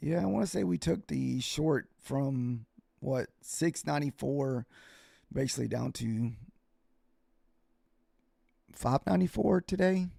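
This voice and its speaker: Male day-trading streamer, creaky and slightly hoarse, informal halting commentary, close dry sound. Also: mid-range voice, lively intonation, dark tone, no room sound. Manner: informal, halting, thinking aloud. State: voice starting to get hoarse. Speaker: livestreaming futures day trader and host. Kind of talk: casual live trading commentary, answering viewers.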